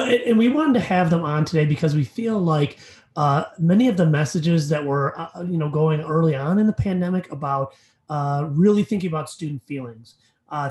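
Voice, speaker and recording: A man's voice: a little high, darkish tone, roomy sound. A man co-hosting an educational podcast, chatting casually with fillers and also reading the sponsor message. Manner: upbeat, rambling with fillers. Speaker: podcast co-host.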